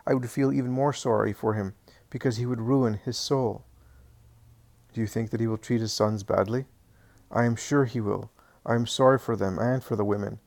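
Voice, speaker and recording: A man's voice: mid-range voice, darkish tone, close to an everyday voice. A man voicing a worried townsman who confides town gossip in an earnest, sympathetic way.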